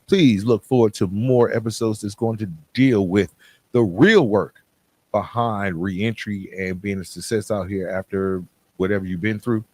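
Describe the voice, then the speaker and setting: A man's voice: lowish voice, a little slow, no echo. A man hosting a podcast, speaking in an emphatic, plain-spoken motivational style straight to the listener. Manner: emphatic, repeats and contrasts phrases, plain-spoken. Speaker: podcast host. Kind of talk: motivational monologue addressed to listeners.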